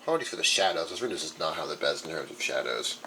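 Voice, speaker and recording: A young man with a low voice talks informally, like a hobbyist showing off a collection. He rambles casually from item to item. There is some noise behind him in the room. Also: sing-song, quick pace, bright, forceful tone, low-quality recording. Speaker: radio collector. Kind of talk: casual show-and-tell vlog. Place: indoor room.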